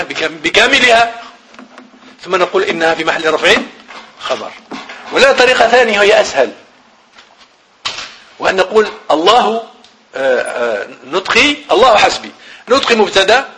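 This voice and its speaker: A man's voice: high voice, sharp tone, slight background noise, rough recording. A man with a Moroccan Arabic accent teaching a grammar lesson. He repeats phrases, asks the class questions and then answers them himself.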